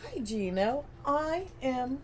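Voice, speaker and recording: A woman doing a lost-in-thought frog-creature craftsman, fussy and kind, pitched a bit up. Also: very animated, slow pace, slight background noise, heavily acted. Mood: gently teasing. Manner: polite, fussy shopkeeper talk. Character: distracted kindly male frog-folk artificer. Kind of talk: tabletop role-play voicing.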